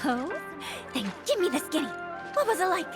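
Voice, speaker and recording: A woman voicing an eager, greedy young treasure-hunting adventurer in a video game, speaking in slangy, animated bursts over music. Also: high voice, moderate pace. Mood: eager curiosity. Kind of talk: video game cutscene acting. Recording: loud music behind the voice.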